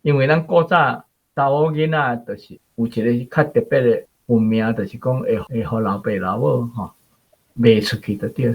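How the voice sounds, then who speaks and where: 一位台灣口音的男性文學評論者，以閒談口吻解說故事，嗓音沙啞。. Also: male voice, medium pitch, creaky, husky, lo-fi sound. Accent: Taiwanese. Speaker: literary commentator. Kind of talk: conversational explanation of a story.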